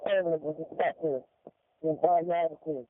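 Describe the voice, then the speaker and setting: A man's voice: on the high side, fairly even intonation, fairly quick, dry recording. A man working as a firefighter, talking in emergency radio traffic over a two-way radio. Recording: tinny narrowband radio transmission.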